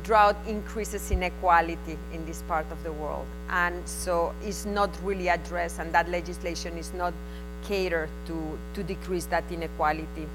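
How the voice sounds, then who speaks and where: A woman's voice: lowish voice, fairly even intonation, normal speed, noisy background. A woman from Spain with a Spanish accent, presenting at a conference in a frank, conversational way that comes in short bursts. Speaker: invited conference speaker. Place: conference hall.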